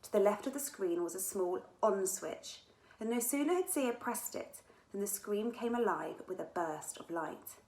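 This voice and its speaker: A woman's voice: medium pitch, moderate pace. Woman narrating a children's story, echoey room. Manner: expressive, with dramatic character dialogue. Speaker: author reading own book aloud.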